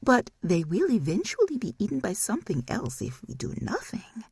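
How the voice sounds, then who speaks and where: A woman's voice: medium pitch, wildly swooping pitch, moderate pace, vocal fry, darkish tone, breathy edge, no room sound, clean studio sound, pitched above the performer's own voice, exaggerated voice. A woman voicing a scheming, mocking demon mother in an audiobook.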